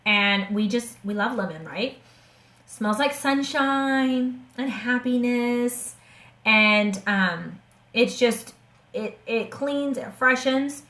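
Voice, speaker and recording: A hoarse woman hosting a live webinar, talking about essential oils in an eager, chatty way with many restarts and fillers. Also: on the high side, a bit bright. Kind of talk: casual live-stream sales talk. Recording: echoey room.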